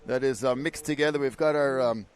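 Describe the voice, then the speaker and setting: A man from Hawaii, giving relaxed live surf contest commentary and slipping into local pidgin slang. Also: medium pitch, a little fast. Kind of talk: live sports commentary, casual banter.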